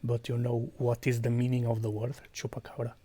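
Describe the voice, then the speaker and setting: A man from Portugal with a Portuguese accent, chatting quietly on a stream, hesitant, with many fillers and slips into whispers. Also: mid-range voice, monotone, a little fast, breathy edge. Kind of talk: quiet casual chat with viewers.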